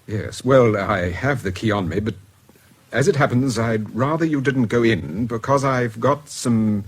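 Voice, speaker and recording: An actor playing a mild, secretive middle-aged gentleman with an educated British accent in a radio drama. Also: medium pitch, a little slow, slightly rough. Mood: evasive. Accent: educated British English. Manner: hesitant, polite, furtive. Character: mild, secretive grey-haired gentleman schemer.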